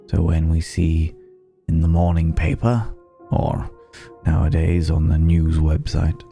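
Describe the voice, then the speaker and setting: Man acting as a very dark-voiced, suave vampire. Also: very deep voice, level tone, faint music behind, full character voice. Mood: smug, confiding. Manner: genteel, unhurried, savouring pauses and words. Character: suave ancient male vampire club owner, refined and quietly menacing. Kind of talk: ASMR roleplay, intimate second-person acting.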